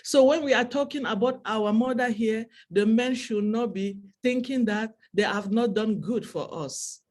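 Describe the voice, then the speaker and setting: A woman's voice: a little high, measured pace, darkish tone. A woman from Cameroon whose first language is French, speaking with a Cameroonian French accent in a heartfelt speech to an online audience, repeating phrases for emphasis. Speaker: webinar speaker.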